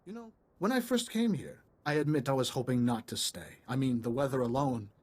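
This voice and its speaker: An eager male diplomat played by an actor with a medium-pitched voice, in a science fiction game. He is courteous and talkative, with an apologetic streak. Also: animated, a little fast, darkish tone, close to an everyday voice. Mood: friendly. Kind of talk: video game voice acting.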